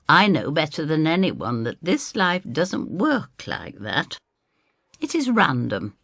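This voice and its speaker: A motherly landlady, played by a woman, narrates an audio story. Her voice is low, creaky and very expressive in pitch. She is homely, wry and confiding in how she tells it. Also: dry recording, clean studio sound, heavily acted. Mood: wry, world-weary. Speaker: first-person narrator. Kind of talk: dramatised scripted storytelling.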